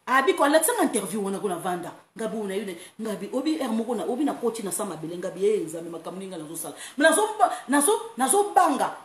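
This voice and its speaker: A woman from France hosting a casual online monologue, animated and quick to laugh, breaking into playful chants. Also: medium pitch. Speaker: online talk host. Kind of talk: casual monologue, mixing Lingala and French. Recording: roomy echo, faint background noise.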